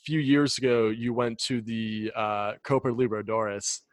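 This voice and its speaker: A man's voice: fairly low pitch, steady tone, unhurried, no echo. Chatty young American man, dry sound. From United States. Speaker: podcast host. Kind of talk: casual interview chat.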